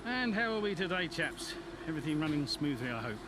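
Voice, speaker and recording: A man's voice: fairly high pitch, very animated, fairly quick, noisy background. A man playing a jovial, genteel racing team boss in a video game, chummy and breezy. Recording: clear garage ambience with vehicles.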